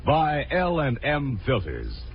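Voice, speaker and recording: An American man giving an emphatic scripted sales pitch as a 1950s radio commercial announcer. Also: huge pitch swings, takes it slowly, over music. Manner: emphatic, selling. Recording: dull vintage radio recording, faint noise.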